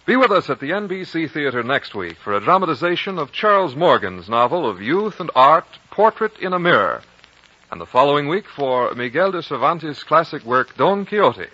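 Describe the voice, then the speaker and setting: A man's voice: a little high, sing-song, normal speed, pushed and bright. A man with an American accent reading a formal, polished script as a 1950s radio network announcer. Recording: dull vintage radio broadcast.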